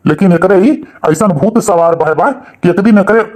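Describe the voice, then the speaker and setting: A man's voice: high voice, normal speed, darkish tone, close to an everyday voice. A man playing an aggrieved, plain-spoken young village father who grumbles and exclaims in a comic cartoon, with an Awadhi accent. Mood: angry.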